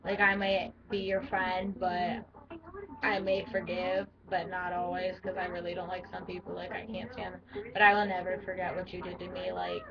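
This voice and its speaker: Teen girl vlogger, deep-voiced for her age, blunt and rambling, dull lo-fi home-video sound. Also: completely flat delivery, normal speed, recorded from a distance, crowd noise. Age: teens. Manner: blunt, rambling, lots of fillers. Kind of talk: casual talking-to-camera vlog.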